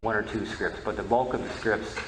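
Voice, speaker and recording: A man's voice: fairly low pitch, steady tone, brisk. A man giving an explanatory conference talk as a panel speaker on radio history. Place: roomy indoor conference presentation space. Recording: echoey, noisy room recording.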